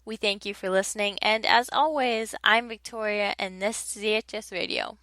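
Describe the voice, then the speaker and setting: A woman's voice: mid-range voice, normal speed, bright, forceful tone, no echo. A young woman hosting a casual podcast, chatty and laughing at her own flubbed lines. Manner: chatty, self-mocking about flubbed lines. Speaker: podcast host.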